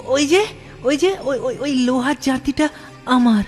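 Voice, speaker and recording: Young village boy, cartoon, naive, excitable, high, unhurried, repeats words, music underneath. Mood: earnest, grateful.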